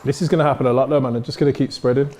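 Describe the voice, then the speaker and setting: A man's voice: medium pitch, fairly even intonation, racing, darkish tone. An English man giving casual, slangy reactions as a fan panellist on a live football watchalong. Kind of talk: casual slangy match chat.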